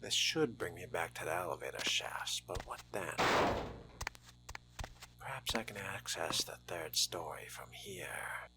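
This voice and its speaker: A man's voice: low-pitched, animated, measured pace, vocal fry, whispered. A man narrating a video game playthrough in character, with theatrical delivery and formal wording. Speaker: role-playing game streamer.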